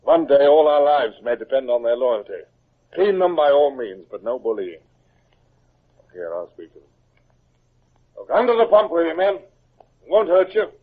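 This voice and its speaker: An actor playing a stern but humane British naval captain in an old-time radio drama, with a commanding, authoritative manner. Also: male voice, on the high side, big pitch swings, moderate pace, long pauses. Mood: commanding, firm. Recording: muffled, narrow old radio recording.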